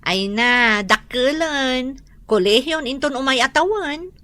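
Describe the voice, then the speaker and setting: A woman's voice: high-pitched, animated, takes it slowly, bright, forceful tone, no echo, full character voice. An actress playing a trusting young woman who laughs easily in a radio drama.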